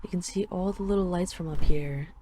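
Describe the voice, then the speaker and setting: A woman voices a dragon queen in an intimate audio drama, with a low, mellow, soft voice. She is affectionate and wistful, teasing her beloved gently, with a faint wash of ambient noise behind her. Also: brisk, natural voice. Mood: tender. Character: dragon queen, tender, teasing, possessive lover.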